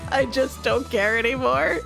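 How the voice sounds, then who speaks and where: A woman streamer narrating a visual novel live, with expressive storytelling. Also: high voice, moderate pace, gravelly. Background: soft game music.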